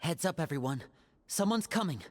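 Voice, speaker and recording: An actress playing a level-headed, kind and wary young traveler in a video game, expressive and thoughtful. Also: female voice, on the low side, normal speed, big-room sound, faint music behind, close to an everyday voice.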